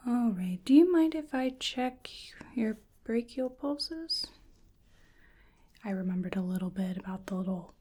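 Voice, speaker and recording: A woman speaking softly in a breathy near-whisper, gently musing and hesitating through an unhurried ASMR medical exam roleplay. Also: medium pitch. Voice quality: soft, breathy, often whispering. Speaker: ASMR performer playing a researcher examining a creature.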